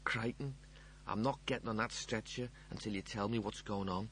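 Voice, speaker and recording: A man's voice: on the low side, moderate pace, a bit bright, slight background noise, voice pushed up, lightly acted. A man voicing a grumpy, blunt and bewildered young man for an audiobook. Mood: suspicious, alarmed. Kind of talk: audiobook character voice.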